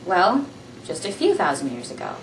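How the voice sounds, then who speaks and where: A young woman reading a novel aloud in a small room, in a plain, steady reading voice, recorded on a camera from across the room. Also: lowish voice, moderate pace. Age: young adult. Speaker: amateur reader. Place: small room indoors, seated on a couch. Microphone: camera mic from across the room. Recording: roomy, distant camera audio with faint hiss.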